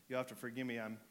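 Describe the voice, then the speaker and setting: A man's voice: mid-range voice, slight background noise. Man with a sore throat preaching. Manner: joking, relaxed, with fillers and restarts. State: sore throat, losing his voice. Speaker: pastor. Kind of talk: sermon. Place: church.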